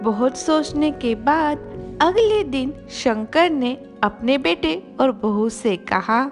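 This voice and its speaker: A woman's voice: high-pitched, expressive, takes it slowly. A woman narrator reading a scripted children's moral story. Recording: music behind.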